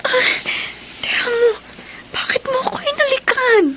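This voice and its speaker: Anxious, high-voiced young woman. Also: fairly even intonation, a little slow, very noisy. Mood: flustered. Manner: emotional, earnest. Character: anxious, gentle young woman, a reluctant sweetheart. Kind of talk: horror radio drama acting.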